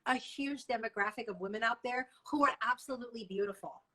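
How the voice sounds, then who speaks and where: A woman in her thirties from Hong Kong hosts a relaxed video-call chat. Her voice sits a little high and bright. She speaks warmly and encourages her guest. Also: fast. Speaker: host. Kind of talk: casual video-call interview. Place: home room.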